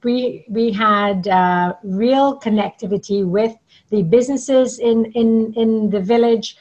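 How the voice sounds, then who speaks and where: A woman's voice: a little high, slow, a little mellow, lo-fi sound. A middle-aged woman from Trinidad and Tobago, telling her story conversationally as an interview guest. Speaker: interview guest, networking coach.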